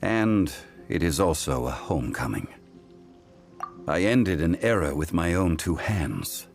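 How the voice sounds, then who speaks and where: Grave ancient god, very deep, slow and measured, faint music behind. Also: male voice, expressive, gravelly, heavily acted. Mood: reflective. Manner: slow, formal, unhurried storytelling. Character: ancient calm male god, solemn storyteller. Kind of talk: game voice acting.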